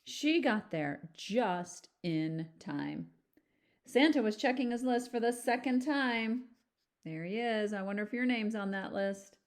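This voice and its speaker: Woman teacher doing a kids read aloud, lively, stops to chat and ask the kids stuff. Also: on the high side, unhurried. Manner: animated, addresses young listeners with questions. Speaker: teacher reading a picture book aloud. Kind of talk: children's storybook read-aloud with chatty asides.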